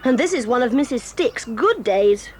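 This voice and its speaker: An actress voicing a proud, quick-tempered tomboy girl in an audio drama, talking in a brisk, bossy and eager way. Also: a little high, animated, a little hiss or hum, close to an everyday voice. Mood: excited, lively. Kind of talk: 1980s audio drama acting.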